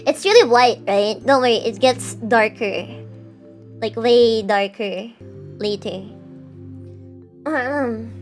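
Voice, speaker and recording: A woman's voice: a little high, slow pace, long pauses. A young woman streamer chatting casually with her viewers, answering chat with a chatty, self-mocking manner. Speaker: VTuber streamer. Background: faint background music.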